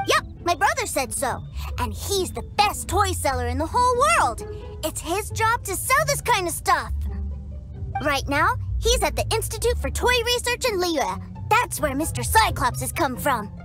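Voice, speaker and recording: A woman's voice: very high voice, moderate pace. Woman playing an eager, toy-crazy little boy. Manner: excited, bossy, childish. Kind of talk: game cutscene voice acting. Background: game music.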